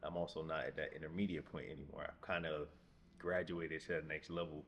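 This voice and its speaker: Very deep-voiced man in his twenties. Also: a little fast, muffled recording. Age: mid-twenties. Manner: rambling, repeats phrases, relaxed. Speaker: vlogger. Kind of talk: casual vlog talk to camera. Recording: echoey room with background noise.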